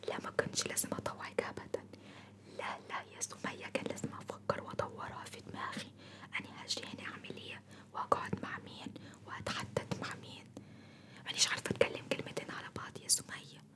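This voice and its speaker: A woman plays a homesick beautician with an Upper Egyptian accent, speaking entirely in a breathy whisper. She is effusive and repetitive, piling up homely endearments and grumbles about city people in a one-sided ASMR salon roleplay. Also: quick pace, natural voice. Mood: aggrieved grumbling. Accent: Upper Egyptian (Sa'idi) Arabic.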